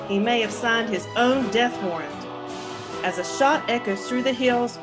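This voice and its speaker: A woman narrates a radio drama from a script. Her voice is on the higher side, dramatic in delivery, with music clearly playing underneath. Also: rough recording.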